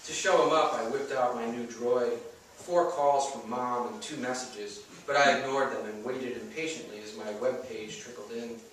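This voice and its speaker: A man's voice: medium pitch, steady tone, moderate pace, fairly bright tone. A man reading first-person fiction aloud as its author at a live bookstore event. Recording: echoey room, distant mic, faint noise.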